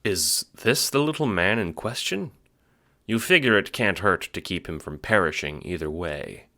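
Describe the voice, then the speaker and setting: A man's voice: low-pitched, animated, normal speed, bright, forceful tone. A man narrating an audio drama in dramatic, scripted second-person storytelling.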